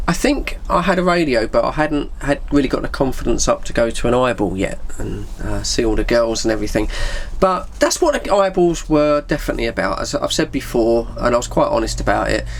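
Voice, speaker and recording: A man's voice: medium pitch, a little hiss or hum. A middle-aged man with an English accent chats casually and informally, rambling through a personal anecdote with plenty of slang. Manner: informal British slang, acts out bits of dialogue. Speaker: vlogger telling personal stories.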